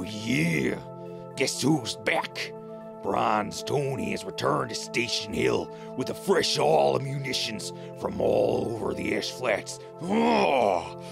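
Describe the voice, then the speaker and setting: A man playing a boastful, muscle-bound ghoul arms dealer in a hammy radio commercial within an audio drama, his voice very gravelly. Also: mid-range voice, full character voice. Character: swaggering, muscle-flexing male ghoul arms dealer pitchman. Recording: music bed under the voice.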